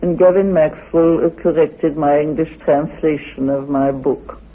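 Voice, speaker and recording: An older man giving a reflective interview as a researcher, speaking in an emphatic, deliberate way. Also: a little high, flat delivery, breathy edge, phone-quality sound. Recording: band-limited old radio interview.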